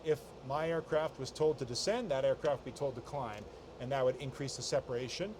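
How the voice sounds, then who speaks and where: American airline captain explaining, noisy background. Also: male voice, medium pitch, fairly quick. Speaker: airline captain overseeing pilot training. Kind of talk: explanatory interview answers. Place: flight training center, partly inside simulator.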